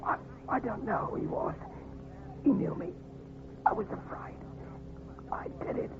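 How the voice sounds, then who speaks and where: A man acting a cowardly, desperate former pirate henchman, pleading and halting, in an old-time radio drama. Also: very high-pitched, huge pitch swings, creaky, heavily acted. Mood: weak, frightened. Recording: muffled tinny vintage radio, hissy.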